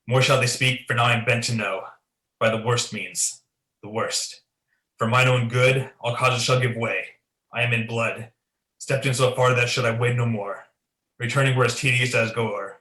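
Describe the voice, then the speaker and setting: A man's voice: mid-range voice, fairly even intonation, normal speed, bright, forceful tone, natural voice. A young man playing an ambitious, guilt-ridden king in a Shakespearean radio play, declaiming in a commanding, theatrical way. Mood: brooding, resolute. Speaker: student performer. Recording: echoey room.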